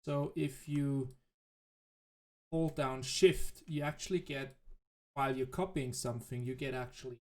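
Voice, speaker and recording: A man whose native language is German, thinking aloud in halting, self-correcting commentary as he plays a game. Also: medium pitch, steady tone, a little mellow. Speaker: let's play host. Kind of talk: casual gameplay commentary.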